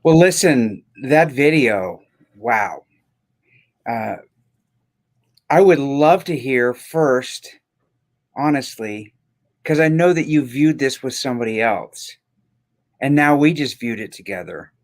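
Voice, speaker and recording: A man's voice: mid-range voice, lively intonation, slow, long pauses. A man with a mid-range voice in a podcast conversation. He speaks casually and thoughtfully as a co-host. His delivery is earnest, and he repeats phrases for emphasis.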